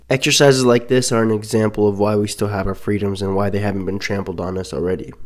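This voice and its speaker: Nasal, raspy man with a cold. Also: lowish voice, a little mellow. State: sick with a cold. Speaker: channel host narrating outro. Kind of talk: voice-over commentary.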